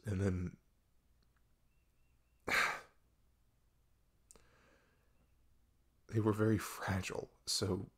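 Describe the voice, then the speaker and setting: A man playing a depressed boyfriend opening up in an intimate ASMR roleplay, speaking haltingly and hushed, with a husky, gravelly, breathy voice. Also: deep voice, exaggerated voice. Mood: pained, halting. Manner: halting, hushed, sighing, long pauses. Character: depressed, vulnerable boyfriend confiding long-hidden grief.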